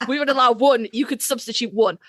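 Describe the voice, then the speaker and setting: A woman's voice: fairly high pitch, normal speed, fairly bright tone. A woman co-hosting a podcast in casual chat, with a playful, bantering, self-deprecating manner. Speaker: podcast co-host.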